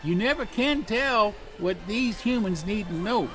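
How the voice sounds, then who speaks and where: A man's voice: sits high, expressive, a little slow, over music. A man voicing a cute, innocent and slightly dim teddy bear in a comic audio drama, hesitant and easily sidetracked. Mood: defensive, innocent.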